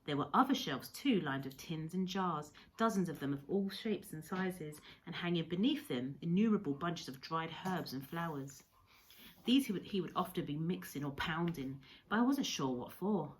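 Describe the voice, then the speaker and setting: Low-pitched woman reading a story aloud. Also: lively intonation, moderate pace, low-quality recording. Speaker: primary school teacher reading a story to pupils. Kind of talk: read-aloud storybook narration.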